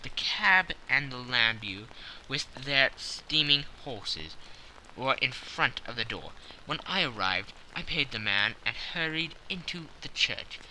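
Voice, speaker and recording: A man's voice: mid-range voice, animated, slow, bright, forceful tone, slight background noise, poor audio. A man narrating a classic story as a scripted, dramatic audiobook.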